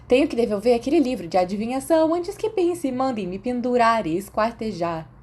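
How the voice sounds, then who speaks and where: A woman plays a fiery, self-assured teenage schoolgirl in an audiobook. The voice sits high and comes out cheeky and emphatic, with a dramatic streak. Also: lively intonation, brisk, darkish tone. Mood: cheerful, teasing. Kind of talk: audiobook character acting.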